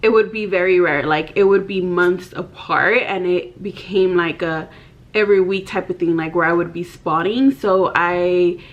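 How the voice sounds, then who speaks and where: A woman's voice: fairly low pitch, moderate pace, dull audio. A woman of about thirty talking casually to the camera in her bedroom. She is candid and chatty and fills her talk with "like". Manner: chatty, candid, many "like" fillers. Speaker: vlogger. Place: bedroom, indoors. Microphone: camera in front, head and shoulders.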